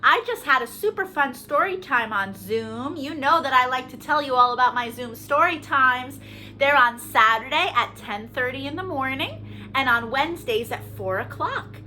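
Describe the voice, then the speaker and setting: A woman hosting story time for young children, animated and sing-song, talking to them with questions and encouragement. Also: sits high, normal speed, poor audio. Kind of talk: children's storytime read-aloud with singing and asides.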